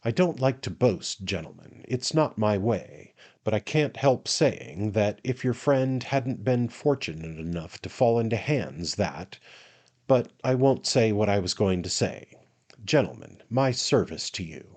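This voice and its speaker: A man voicing a pompous, falsely modest attorney who likes a drink, halting and interrupting himself in a comic storybook. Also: lowish voice, animated, a little mellow, pitched above the performer's own voice. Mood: self-satisfied. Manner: pompous, halting, self-interrupting, grandly addressing company. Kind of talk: dramatised audiobook reading of a comic Victorian novel.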